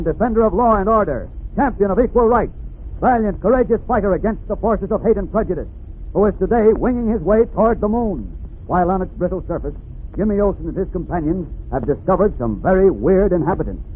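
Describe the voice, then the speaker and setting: An American man declaims as the narrator of a 1940s radio serial. His voice is fairly high and dramatic, heard through a narrow, slightly noisy old recording. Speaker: announcer and narrator. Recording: vintage radio, narrow band, faint noise.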